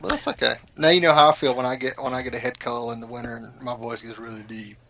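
Male podcast co-host, mid pitch with a gravelly edge, teasing casual talker. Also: steady tone, fast talker, sharp tone, a little hiss or hum. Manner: teasing, chatty storyteller. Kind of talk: casual chat.

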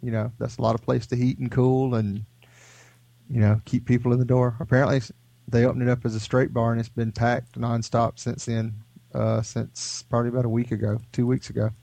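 A man's voice: mid-range voice, flat delivery, brisk, gravelly, mellow, no echo. A man co-hosting a podcast, sick with a head cold, telling stories in a rambling, laid-back way. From Houston area. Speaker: podcast co-host. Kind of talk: casual chat.

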